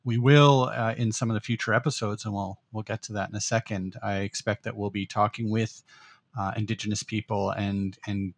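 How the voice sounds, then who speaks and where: A middle-aged man, born in the Netherlands, co-hosting a podcast as a professor, speaking thoughtfully with many pauses and restarts. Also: fairly low pitch, steady tone, no room sound. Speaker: podcast co-host, political science professor. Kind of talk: casual conversational podcast.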